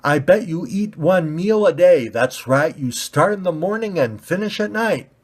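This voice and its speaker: A man's voice: high voice, animated, moderate pace, pitched above the performer's own voice. A man voicing a smug, rude, self-righteous storybook red ball, with a condescending, emphatic delivery. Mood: taunting.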